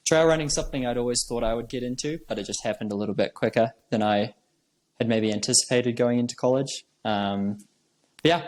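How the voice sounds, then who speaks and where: A young man from New Zealand, a trail runner, chatting casually as an interview guest and filling his talk with "like" and "um". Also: fairly low pitch. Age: young adult. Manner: relaxed, with many fillers like "like" and "um".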